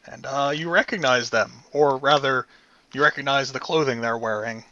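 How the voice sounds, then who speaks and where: A man runs a tabletop game as game master, narrating in an easygoing manner full of "uh"s. His voice is bright and forceful. The recording sounds dull and lo-fi. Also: medium pitch, normal speed. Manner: relaxed, laid-back narration with frequent fillers.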